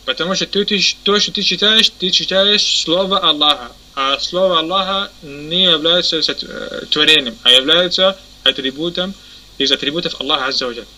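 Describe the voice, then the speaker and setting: A man teaching a religious lesson. He pauses often, corrects himself, and keeps asking if his listeners understand. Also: on the high side, bright, forceful tone, low-quality recording. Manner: halting, many fillers and self-corrections. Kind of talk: explanatory lesson.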